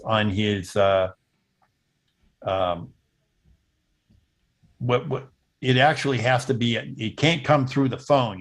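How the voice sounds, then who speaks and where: Older man explaining, halting delivery. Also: mid-range voice, a bit bright. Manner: halting, pausing mid-sentence while explaining. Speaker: presenter demonstrating intercom software. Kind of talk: explanatory technical demo on a video call. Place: indoor room at home, on a video call.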